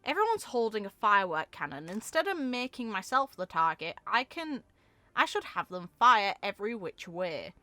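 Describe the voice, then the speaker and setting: Woman acting young female livestreamer, mischievous game trickster, theatrical, taunting, bright voice, dry sound. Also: medium pitch, big pitch swings, moderate pace, dry recording. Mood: playful scheming. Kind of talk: game lore character read-aloud.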